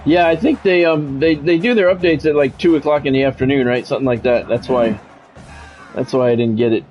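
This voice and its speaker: A man's voice: mid-range voice, a little fast, lo-fi sound, soft background music. A man doing sports play-by-play of a video game on a stream, mixed with casual chat with viewers.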